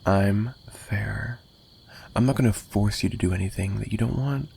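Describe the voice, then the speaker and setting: A man playing an obsessive, lovesick mafia boss in an intimate ASMR roleplay, menacing yet pleading, with halting, repetitive speech that drifts into breathy whispers. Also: lowish voice, a little mellow, full character voice. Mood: possessive, menacing.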